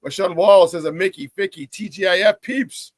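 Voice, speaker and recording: A man hosting a morning sports talk show, hoarse from a cold, talking in a hyped, slangy, casual way. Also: high voice, no room sound, studio quality. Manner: hyped, slangy, drawn-out hype calls. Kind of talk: casual chat.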